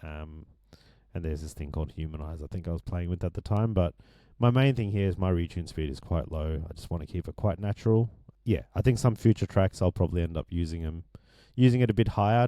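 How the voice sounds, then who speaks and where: A man with a deep voice that is going hoarse, casually walking through his vocal mix with many ums and restarts. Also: dark tone, dry recording. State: losing his voice. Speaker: music producer explaining his mix. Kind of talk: casual screen-share tutorial.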